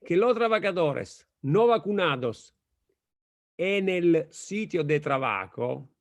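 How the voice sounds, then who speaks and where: An Italian-accented man gives a measured academic talk over a video call, his voice slightly high-pitched. He speaks slowly and haltingly, often stopping to fix a word mid-phrase. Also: expressive. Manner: slow, halting, self-correcting. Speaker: guest lecturer.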